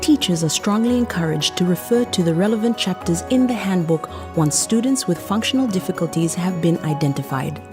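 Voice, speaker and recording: Lower-pitched woman narrating over music. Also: animated, brisk, few pauses. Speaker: training film narrator. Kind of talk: scripted instructional narration. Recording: music behind the voice. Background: background music.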